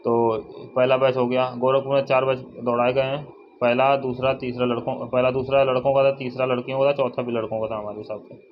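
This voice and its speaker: A young man giving a casual informational update to viewers, halting and often repeating himself as he restates figures. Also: medium pitch, level tone. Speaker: exam update vlogger. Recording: dull lo-fi, faint noise, birdsong.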